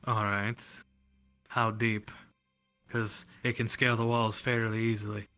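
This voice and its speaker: A man's voice: on the low side, level tone, measured pace, pushed and bright, faint music behind. A man playing an obedient guard officer in a roleplay game, hesitant and deferential in a matter-of-fact way. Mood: dutiful, hesitant. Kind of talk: improvised roleplay in a virtual-world game.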